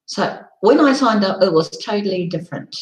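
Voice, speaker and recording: A woman with a croaky, hoarse voice, hosting a casual live broadcast and rambling with many ums. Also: mid-range voice, animated, moderate pace, roomy sound, rough recording. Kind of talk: casual live-stream chat.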